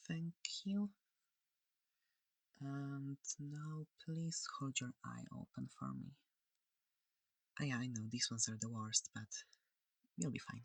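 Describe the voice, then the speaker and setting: A person voicing a curious, polite alien scientist in an ASMR roleplay, soft-spoken, hesitant and reassuring, breathy and close to the microphone. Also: medium pitch, expressive, takes it slowly, long pauses, dry recording. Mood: gentle, reassuring.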